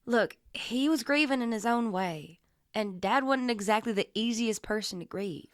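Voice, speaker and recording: An actress playing a steady, guarded middle-aged mother and protective sister in a small town, in radio drama. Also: on the high side, moderate pace, dry recording, clean studio sound, lightly acted. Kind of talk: naturalistic radio drama acting.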